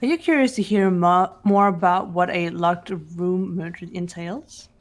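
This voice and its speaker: A woman voicing a composed, analytical teenage girl detective in a fan-voiced mystery game, speaking in a deliberate, precise way. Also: on the low side, faint music behind, natural voice. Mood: calm, analytical. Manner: deliberate, precise, lecturing delivery. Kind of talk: fan voice-over of a mystery visual novel.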